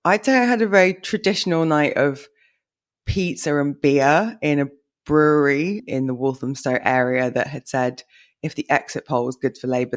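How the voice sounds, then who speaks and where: Husky-voiced woman, chatty co-host. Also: very deep voice. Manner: chatty and wry, quick to joke. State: husky from a late night. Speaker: podcast co-host. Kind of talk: casual chat.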